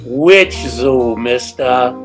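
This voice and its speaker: A man's voice: medium pitch, lively intonation, extremely slow. A dutiful deliveryman in a radio comedy played by a man calls out bluntly. He is plain-spoken, with faint music behind him. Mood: businesslike.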